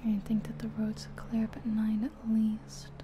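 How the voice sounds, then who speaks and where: A woman playing an easygoing night-shift taxi driver, musing softly to herself in a breathy ASMR roleplay. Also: a little high, completely flat delivery, moderate pace, slight background noise, close to an everyday voice. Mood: calm, musing. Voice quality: breathy whisper. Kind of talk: soft-spoken whispered ASMR roleplay.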